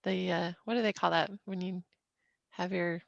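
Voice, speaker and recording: A woman's voice: on the low side, steady tone, moderate pace, creaky, no echo, muffled recording. A middle-aged woman from Utah chatting casually on a podcast, laughing often. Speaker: podcast guest. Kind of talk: casual chat.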